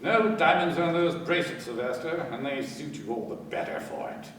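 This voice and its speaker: An actor playing a composed police inspector, speaking formally with dry irony in a radio crime drama. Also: male voice, fairly high pitch, close to an everyday voice. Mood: dryly triumphant. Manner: measured, formal, dryly ironic. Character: composed, dryly triumphant male police inspector. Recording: very echoey, distant, faint noise.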